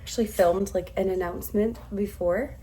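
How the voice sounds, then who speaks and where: A woman in her thirties talks casually to a camera set up a few steps away in her home. Her mid-range voice is a little hoarse as she recovers from losing it, and she speaks in a chatty, self-deprecating way, often laughing at herself. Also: medium pitch, fairly even intonation, normal speed, dark tone, poor audio. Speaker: vlogger.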